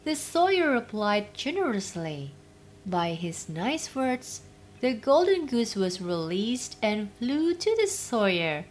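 A woman narrating a children's fairytale as storyteller, expressive and deliberate, with faint noise. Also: fairly high pitch.

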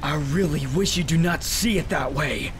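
A man's voice: fairly high pitch, normal speed, music in the background, close to an everyday voice. A man playing a brooding, stoic antihero hedgehog in a comic dub, serious, formal and restrained. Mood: solemn, persuading. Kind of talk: scripted comic dub acting.

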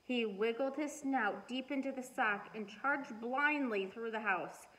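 A middle-aged woman reading a children's storybook aloud with expressive, emphatic storytelling. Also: a little high, a little slow. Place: living room, indoors. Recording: noisy living room recording.

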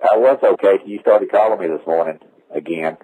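A middle-aged man who runs a roofing business, trading crude, mocking insults in a slow drawl over a tinny phone line. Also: sits low. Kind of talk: comic phone-call banter.

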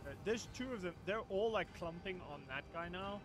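A man's voice: sits high, a little fast. A young man giving casual, profane commentary while he plays a game. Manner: profane, excitable, joking. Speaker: streamer. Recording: loud game music behind.

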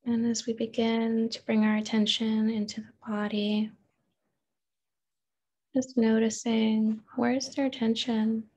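Husky, even-toned woman guiding meditation. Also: a little high. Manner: gentle, unhurried, with pauses and open questions. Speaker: therapist leading a guided meditation. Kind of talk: guided meditation.

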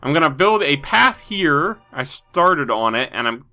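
A man's voice: a little high, big pitch swings, a little slow, bright, forceful tone. A man with a stuffy nose from a cold, rambling through casual Minecraft commentary with many fillers. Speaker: Minecraft video host.

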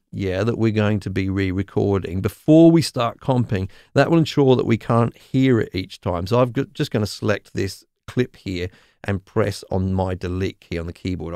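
A man with a slightly croaky voice explains music software in a relaxed, chatty way, often checking in with "okay?". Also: on the low side, no room sound, clean studio sound. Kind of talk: screen-recorded software tutorial.

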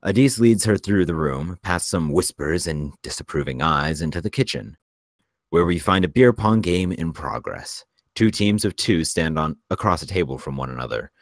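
A man's voice: sits low, lively intonation, normal speed, no echo, clean studio sound. A man reading screenplay stage directions as narrator at a table read.

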